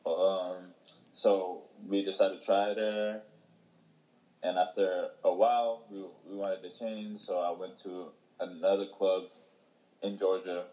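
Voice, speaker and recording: Young man from Ethiopia, low and mellow voice, halting answers in a casual interview. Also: poor audio. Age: young adult. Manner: halting, many fillers and restarts. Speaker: interviewee, young soccer player.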